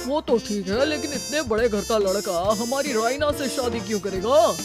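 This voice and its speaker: Woman doing a high-pitched skeptical cartoon father. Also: animated, moderate pace, natural voice. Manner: questioning, protesting. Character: protective, skeptical middle-aged father of modest means. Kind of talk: cartoon story acting. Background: background music.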